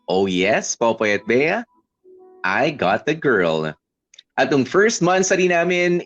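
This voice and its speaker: A man's voice: on the low side, a bit bright, muffled recording. A Filipino man narrating a personal letter in the first person, dramatically and conversationally, slipping between two languages. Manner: conversational, switches between Tagalog and English. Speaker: radio storyteller reading a listener's letter. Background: background music.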